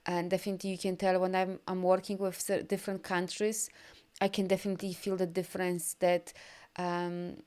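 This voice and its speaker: Upbeat Polish-born woman, smooth voice. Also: lowish voice, level tone, fairly quick, darkish tone. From Poland. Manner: loose rambling with many fillers and restarts; upbeat and encouraging. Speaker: energy healer and coach. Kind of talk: live video session reading viewer comments.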